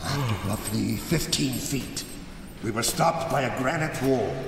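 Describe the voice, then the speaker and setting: A scheming nobleman, sly and slow. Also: expressive, vocal fry. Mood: menacing. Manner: slow, theatrical, mocking, swinging sharply in pitch. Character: cold, scheming older nobleman narrating revenge. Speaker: Montresor, narrator-murderer. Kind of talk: live stage radio drama. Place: large hall before a live audience. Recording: roomy long hall reverb, audience noise.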